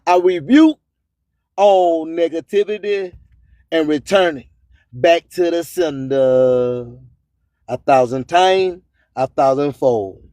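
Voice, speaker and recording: A man reciting spiritual affirmations in short, deliberate phrases with a solemn, incantatory manner. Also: mid-range voice, dark tone, dry recording, studio quality.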